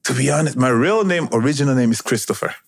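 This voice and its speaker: A man in his thirties, a podcast guest, telling his life story in a relaxed chatty way, chuckling and saying "you know, man" a lot. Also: medium pitch, fast talker, vocal fry, no room sound, clean studio sound. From Italy. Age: 30s. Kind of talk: casual conversational storytelling.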